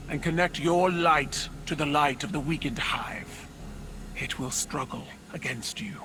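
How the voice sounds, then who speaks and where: An actor playing a proud, solemn alien warrior ruler who speaks in a stately, formal way in a video game. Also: male voice, on the high side. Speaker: allied military leader. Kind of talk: dramatic video game voice acting. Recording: loud music behind.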